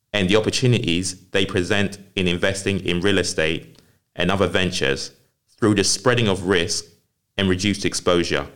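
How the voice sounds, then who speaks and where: A male podcast host who reads a scripted introduction, then asks casual questions, often repeating words and saying "fair enough". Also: low-pitched.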